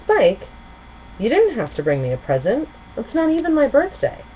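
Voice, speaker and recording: A woman's voice: sits high, huge pitch swings, moderate pace, voice pushed up. A woman voicing an earnest, enthusiastic young female pony princess in a storybook reading. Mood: apologetic, surprised. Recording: muffled home recording with clear noise.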